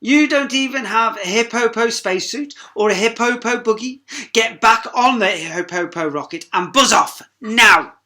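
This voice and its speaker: Woman doing a loud, pompous male hippo explorer. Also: fairly high pitch, normal speed, pitched above the performer's own voice. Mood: furious. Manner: blustering, haughty, emphatic.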